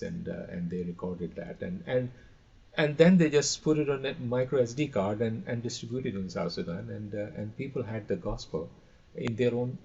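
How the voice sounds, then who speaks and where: A man speaking as a podcast guest, telling stories casually in a halting way, repeating words, over lo-fi call audio. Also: lowish voice, gravelly, warm tone, a little hiss or hum. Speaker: podcast guest, radio expert. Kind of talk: casual storytelling.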